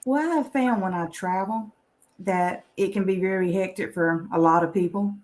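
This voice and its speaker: A woman's voice: mid-range voice, normal speed, darkish tone. A woman speaking as a panel guest in a conversational, inspirational style, earnest and encouraging.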